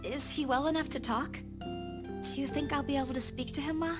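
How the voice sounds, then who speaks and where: A woman's voice: sits high, steady tone, moderate pace, music in the background, lightly acted. An actress playing an earnest, hardworking young woman, reassuring and easily anxious, in a visual novel game. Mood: reassuring, tender. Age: young adult.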